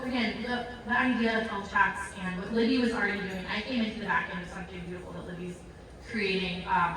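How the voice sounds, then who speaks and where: A woman introducing a live panel as a nonprofit founder, rambling and excited with many fillers and self-corrections. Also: mid-range voice. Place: large event hall with audience. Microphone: handheld mic, heard from a distance. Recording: echoey hall recorded from far off.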